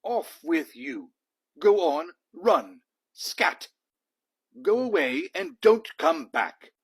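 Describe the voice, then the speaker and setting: A man's voice: a little high, huge pitch swings, extremely slow, creaky, breathy edge, pitched above the performer's own voice, heavily acted. A man voicing a pompous, outraged lord who presides over a school board in a storybook, haughty and exclaiming. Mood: indignant, shouting.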